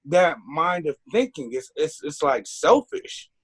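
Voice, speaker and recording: A man's voice: fairly high pitch, very animated, a little slow, breathy edge. A man who is a guest on a casual podcast, talking emphatically and asking exasperated rhetorical questions. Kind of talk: casual chat.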